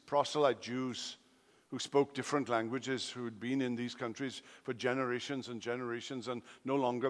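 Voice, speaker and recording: A Welsh man, Welsh his first tongue, preaching in a fairly high voice that rings out through a large hall's long reverb. He drives key lines home loudly, then drops into quiet asides. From Wales. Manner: emphatic, swings between loud declarations and quiet asides. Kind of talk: expository sermon.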